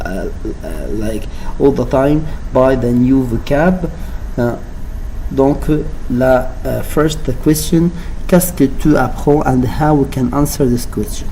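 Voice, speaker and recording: A man's voice: medium pitch, mellow, slight background noise, poor audio. A man teaching a French vocabulary lesson, switching between French and English and repeating each word for learners. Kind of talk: flipped-class vocabulary lesson.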